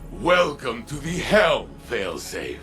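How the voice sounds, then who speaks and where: An actor playing a big-hearted, hopeful warrior in a video game, speaking with earnest weight. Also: male voice, medium pitch, wildly swooping pitch, slow pace, over music. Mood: friendly. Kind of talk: video game cutscene acting.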